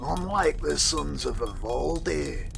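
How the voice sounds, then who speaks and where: A man's voice: fairly high pitch, expressive, slow, a bit bright, faint music behind, heavily acted. A man playing a proud dwarf master smith, hearty and confident, in an audio drama. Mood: proud, wry. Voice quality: gravelly.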